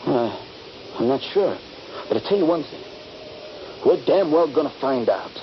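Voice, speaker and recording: A man's voice: fairly high pitch, expressive, normal speed. An actor playing a bold, eager young Union Army soldier in a radio drama, confident and dramatic in his urging. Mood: urgent. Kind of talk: radio drama acting with first-person narration. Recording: dull, noisy 1970s radio recording.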